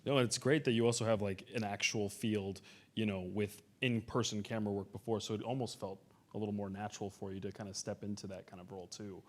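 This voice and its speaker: A man with a fairly low voice moderates a panel. He talks very quickly, in a casual and chatty interviewing style. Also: steady tone. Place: convention panel before an audience.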